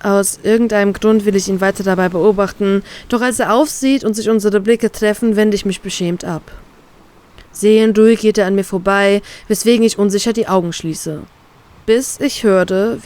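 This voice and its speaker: A woman reads her first-person romance story aloud in a calm, steady way. Her voice sits at a middle pitch, neither high nor low. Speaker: fan fiction reader.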